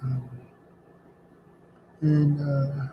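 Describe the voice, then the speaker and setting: A middle-aged man chatting casually at home, halting and hesitant, with many fillers and repeated words. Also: mid-range voice, level tone. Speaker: artist and vlogger. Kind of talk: casual reflective chat while drawing. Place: home studio room. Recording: slightly dull lo-fi sound with faint background noise.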